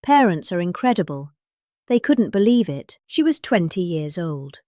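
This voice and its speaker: Brytyjka o żywej, zmiennej intonacji, suche nagranie. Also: female voice, fairly low pitch, very animated, moderate pace, warm tone, no room sound, studio quality. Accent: British. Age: middle-aged. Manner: exaggerated, varied emphasis. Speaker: reader of a political speech. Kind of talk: dramatic reading of a rambling spoken speech.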